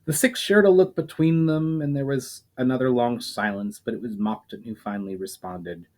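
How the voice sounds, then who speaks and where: A man reading his own fantasy novel aloud at a measured storytelling pace, sometimes correcting a word. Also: mid-range voice.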